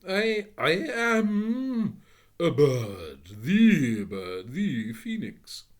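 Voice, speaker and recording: A man doing a haughty old phoenix, loud and grand. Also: on the high side, big pitch swings, voice pushed up, exaggerated voice. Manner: grand, scornful, slow declaiming. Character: haughty proud ancient golden phoenix.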